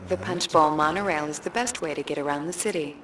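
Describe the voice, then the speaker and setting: An actress playing a calm, courteous monorail announcer in a video game, polished and measured. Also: female voice, low-pitched, background noise, lightly acted. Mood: pleasant, calm. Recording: distant announcement with music behind.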